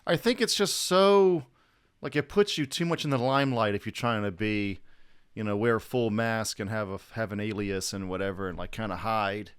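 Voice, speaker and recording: A man's voice: on the low side, steady tone, normal speed, smooth. A man talking casually as a podcast panelist, blunt and prone to swearing. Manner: blunt, profane. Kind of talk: casual discussion.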